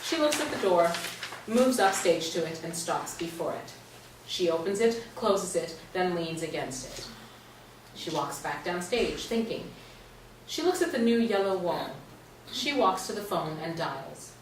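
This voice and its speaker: A woman's voice: fairly low pitch, animated, measured pace. A woman narrator reading stage directions in a scripted play reading. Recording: roomy stage, distant mic, faint noise.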